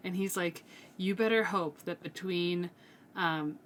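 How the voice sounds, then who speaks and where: A woman's voice: on the low side, unhurried, a bit bright. A woman co-hosting a book podcast, chatting in an informal, speculative way. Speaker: co-host of a book read-along podcast. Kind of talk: casual book discussion chat.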